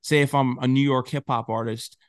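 A man hosting a casual interview, asking long, rambling questions in a relaxed, conversational way. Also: mid-range voice, brisk, dry recording, clean studio sound. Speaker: podcast host, interviewer.

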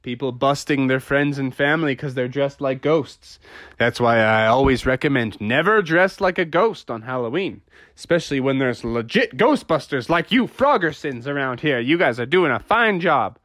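A man's voice: mid-range voice, animated, moderate pace, fairly bright tone, dry recording, natural voice. A man voicing an obliging, chatty storybook doctor, matter-of-fact and reassuring. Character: obliging, chatty pediatrician turned ghostbuster.

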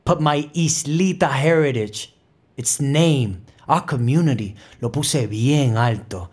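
An actor playing a proud, fiery Puerto Rican bandleader from the Bronx, with a New York Puerto Rican accent, in an impassioned audio drama monologue that slips between English and Spanish. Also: male voice, mid-range voice, slow pace, a little mellow. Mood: defiant.

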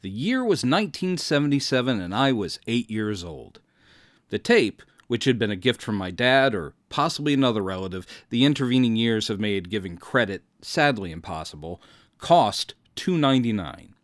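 American male podcast host, fifties, wry storyteller with very lively intonation and a dry, close studio sound. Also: medium pitch, normal speed, no room sound. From Mississippi, United States. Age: 50s. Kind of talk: scripted autobiographical narration.

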